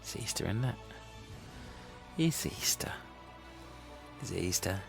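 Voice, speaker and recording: A man's voice: low-pitched, animated, a little slow. A man in casual comic banter, muttering in a hesitant, muddled way. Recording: music clearly behind the voice.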